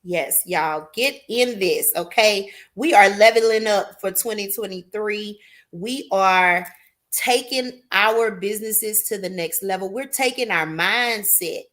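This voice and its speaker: A woman hosts a live talk show. She speaks slowly and pumps up the viewers with "y'all" and "queen". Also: medium pitch, a bit bright. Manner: hypes the audience with "y'all" and "queen". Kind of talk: upbeat live show hosting.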